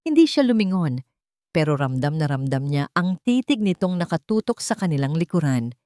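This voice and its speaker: Low, mellow Filipino woman narrating drama. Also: big pitch swings, normal speed, no room sound, studio quality. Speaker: story narrator. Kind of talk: dramatic scripted narration of a romance story.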